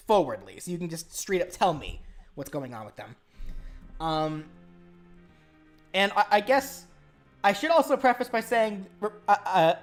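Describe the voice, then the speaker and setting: A woman hosting a casual live stream, rambling and restarting her words as she talks to chat. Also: lowish voice.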